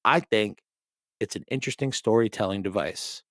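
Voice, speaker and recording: A man's voice: on the low side, moderate pace, a bit bright, no room sound, clean studio sound. A man with a Rhode Island accent hosting a podcast, chatting in a loose, joking way and swearing freely. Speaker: podcast host. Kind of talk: casual podcast chat.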